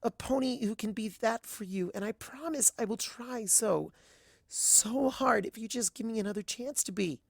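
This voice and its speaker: A woman voicing a meek, heartbroken young female pony in a storybook reading, earnest, apologetic and halting in her speech. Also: a little high, vocal fry, slightly rough, no room sound, studio quality, voice pushed up. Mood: desperate pleading. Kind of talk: dramatised fan fiction reading.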